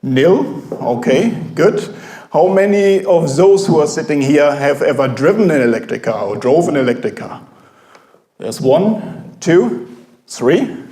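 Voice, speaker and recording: A German-accented professor giving a halting, informal talk to a café audience, full of fillers. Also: male voice, on the high side, lively intonation, warm tone, echoey room. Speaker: guest speaker, professor.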